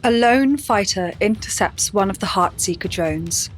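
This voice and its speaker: A woman performing composed, measured scripted narration of a fleet battle for a sci-fi audio drama. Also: medium pitch, expressive, faint music behind, lightly acted. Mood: composed, serious.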